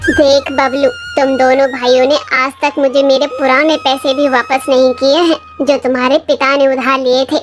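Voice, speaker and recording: A stern, scornful rich moneylender from a children's moral tale, acted out by the narrator. He scolds dismissively in an oddly high, youthful voice, with soft music behind. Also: level tone, normal speed, hardly any pauses, close to an everyday voice. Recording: music under the voice.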